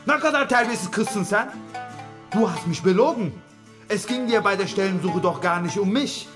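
A middle-aged Turkish father in a radio drama, stern and pleading by turns. His voice is fairly high and emotional as he admonishes, with music underneath. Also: expressive, moderate pace, a bit bright. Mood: angry, stern. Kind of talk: radio play acting.

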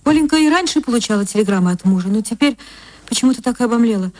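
A woman reading a short story for radio as an actress, in expressive literary narration. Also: a little high, very fast, no echo. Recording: music under the voice.

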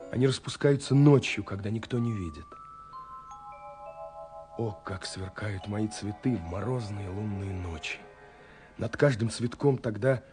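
A man's voice: on the low side, close to an everyday voice. An actor playing an ardent, poetic young man in love, reading letters with rapt tenderness in a radio play. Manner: rapt, swelling then dropping to hushed tenderness. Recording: 1970s radio production with music. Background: music.

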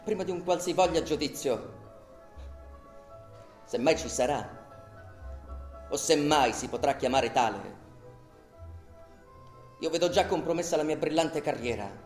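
A man's voice: on the high side, lively intonation, measured pace, long pauses, darkish tone. An actor playing an indignant accused man in a radio drama, declaiming rhetorically and sarcastically to a tribunal. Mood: sarcastic indignation. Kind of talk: theatrical radio drama monologue. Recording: roomy echo, music behind.

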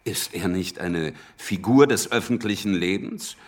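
An actor playing a teasing, sardonic revolutionary politician in a radio drama. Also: male voice, sits low, measured pace. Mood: mocking, teasing. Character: provocative, mocking revolutionary politician, relishing his teasing.

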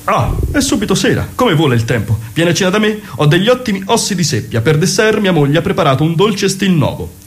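A man's voice: high voice, very animated, fast talker, few pauses. A man acting a pompous, melodramatic doctor in a mock-serious radio theatre parody. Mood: mock-dramatic. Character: pompous male doctor colleague, melodramatic poet-surgeon.

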